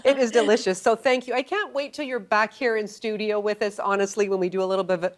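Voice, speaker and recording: A woman's voice: medium pitch, steady tone, quick pace. A woman hosting a magazine show, chatting with a guest and presenting events. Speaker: TV show host.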